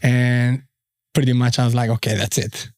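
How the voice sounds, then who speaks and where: A man in his thirties from Albania with an Albanian accent, a podcast guest telling his story in a halting, easygoing way with many fillers. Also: mid-range voice, flat delivery, dry recording, studio quality. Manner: unhurried, halting, frequent fillers. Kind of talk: casual storytelling interview.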